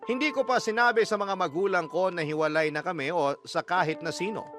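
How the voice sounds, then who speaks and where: A man's voice: high-pitched, fairly quick, fairly bright tone. A Filipino man giving a sorrowful, dramatic first-person scripted narration as a radio storyteller. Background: music.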